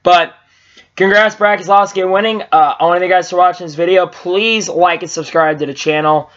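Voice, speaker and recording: A young man talks into a webcam in a small room and rambles through a race recap, with plenty of fillers and restarts. His voice sits high and sounds a bit strained. The audio is dull and lo-fi. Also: brisk. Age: young adult. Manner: rambling, lots of fillers and restarts, gets excited. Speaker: vlogger reviewing races. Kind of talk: casual race recap vlog. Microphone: webcam at low angle.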